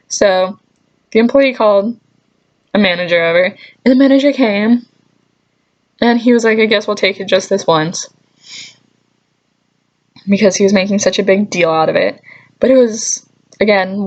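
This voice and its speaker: A woman's voice: medium pitch, lo-fi sound. A woman vlogger telling stories casually, with a joking, mock-scolding manner and dry asides. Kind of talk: casual comedic storytelling to camera.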